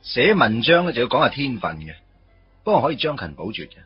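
An actor playing a wry, teasing office worker in a Cantonese radio drama, with a Hong Kong accent and a casual, grumbling delivery. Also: male voice, medium pitch, very animated, fast, lo-fi sound, faint music behind, natural voice. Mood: casual, chatty. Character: wry, teasing male office worker meeting his first love.

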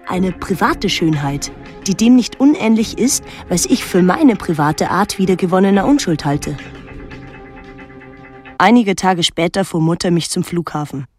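An actress playing a reflective daughter who narrates a literary radio drama in a measured, introspective way. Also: female voice, on the low side, lively intonation, darkish tone, faint music behind. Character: reflective daughter recalling her reclusive painter mother.

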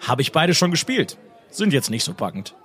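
An actor playing a young gamer who becomes a dim but strong warrior in an amateur comedy audio drama. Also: male voice, fairly high pitch, very animated, moderate pace, a bit bright. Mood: eager.

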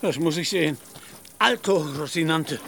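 A man's voice: fairly high pitch, animated, normal speed, vocal fry, background noise. A man in a German radio play, playing a deluded, proud knight-errant with grandiloquent, archaic, declamatory speech. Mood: solemn, proud.

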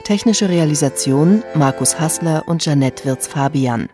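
Slow male credits announcer over music. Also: a little high. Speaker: radio announcer reading credits.

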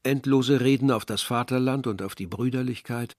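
Radio drama: man playing a brooding village narrator, measured and bitter, medium pitch, very dry close sound. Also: a little mellow, no echo, lightly acted. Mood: reflective. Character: reflective Algerian village man recalling war and dead brother. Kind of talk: literary radio play narration. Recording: dry studio, faint music behind.

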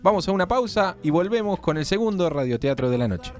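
A man's voice: high voice, animated, fast, faint music behind. A man reading scripted credits and sponsor announcements on radio.

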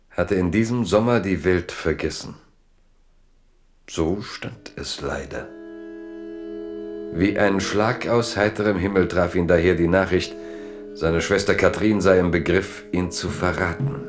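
A man narrating a historical radio drama in a measured, literary, dryly ironic manner. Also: deep voice, soft background music. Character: measured, ironic male chronicler of a royal history.

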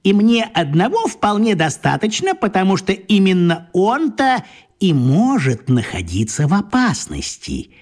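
A sly, teasing little old man in a radio play. His creaky, husky voice drawls slowly and toys with words, leaping widely up and down in pitch. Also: huge pitch swings, darkish tone, exaggerated voice. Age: older. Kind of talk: radio drama acting.

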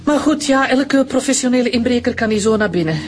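A woman's voice: a little high, animated, fast talker, over music, close to an everyday voice. A woman playing a cool, controlled doctor. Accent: Flemish. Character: composed, cool widowed doctor. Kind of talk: radio drama acting.

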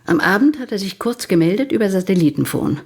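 A woman's voice: medium pitch, lively intonation, quick pace, darkish tone, slightly rough. An actress playing a composed, strong-willed female client in a detective radio play, speaking with control and insistence. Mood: controlled concern. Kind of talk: German radio play acting.

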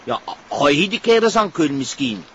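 A man's voice: on the high side, big pitch swings, fairly quick. An actor playing a grumbling, fretful policeman on a tail in a Flemish crime drama, with a Flemish accent. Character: fretful, grumbling policeman André tailing a suspect. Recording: dull sound, noisy background, clicks.

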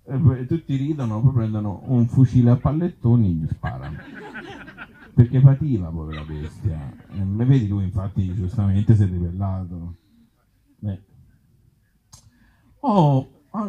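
A man from Italy reading absurd short comic stories aloud to a live gathering, with deadpan humour and offhand, hesitant asides. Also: lowish voice, muffled recording. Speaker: author and actor reading his comic tales at a live event.

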